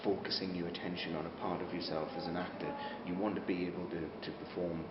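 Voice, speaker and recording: A man's voice: low-pitched, little pitch movement, quick pace. A man giving a casual interview as an actor, speaking in a hesitant, thoughtful way and rephrasing as he goes. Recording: dull, very noisy, echoey room recording.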